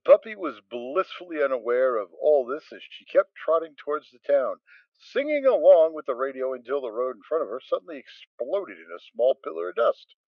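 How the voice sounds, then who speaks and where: A man narrating a story in an expressive reading style. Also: a little high, fairly quick, creaky, dry recording. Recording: dry, dull sound.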